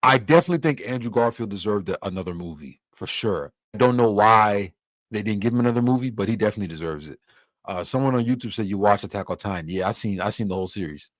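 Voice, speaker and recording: A young man from Cameroon talks casually on his livestream, his voice sitting fairly low. His delivery is loose and easygoing, with plenty of restarts. The sound is dry and close, with no room around it. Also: expressive, fast talker, dry recording. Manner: laid-back, loose, filler-heavy with frequent restarts. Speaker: livestream host. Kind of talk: casual livestream chat answering comments.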